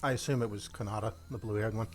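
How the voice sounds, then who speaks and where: A man casually playing and talking through a video game, relaxed, with brief reactions. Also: lowish voice, quick pace, a little hiss or hum.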